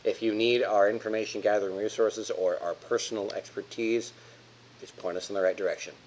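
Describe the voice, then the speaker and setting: Man playing a courteous, low-voiced FBI agent. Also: fairly even intonation, fairly quick, a little mellow, natural voice. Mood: diplomatic. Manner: courteous, formal, accommodating. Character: polite, diplomatic FBI agent, cooperative newcomer. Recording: dull audio with faint hiss.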